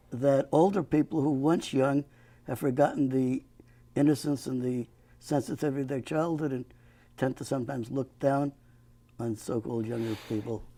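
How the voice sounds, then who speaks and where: A man's voice: a little high, creaky, warm tone. An older man hosting a local TV talk show, folksy and prone to joking asides. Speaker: host.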